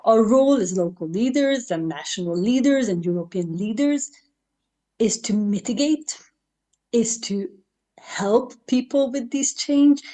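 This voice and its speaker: Hungarian woman politician, mellow tone, earnest and halting, heard over a video call. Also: mid-range voice. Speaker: local politician. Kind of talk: panel speech.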